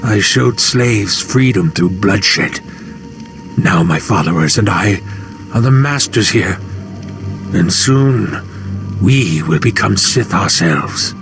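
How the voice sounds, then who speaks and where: A man's voice: fairly low pitch, lively intonation, takes it slowly, over music. A man voicing a fanatical, violent slave cult leader in a video game, with reverent, grandiose declamation. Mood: fervent, reverent. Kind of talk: dramatic video game acting.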